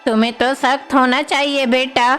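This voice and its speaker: A woman's voice: high-pitched, little pitch movement, normal speed, a bit bright, clear, resonant tone, soft background music. A woman voicing a concerned village grandmother who scolds and questions as she advises, in a cartoon story. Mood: exasperated. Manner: admonishing, questioning.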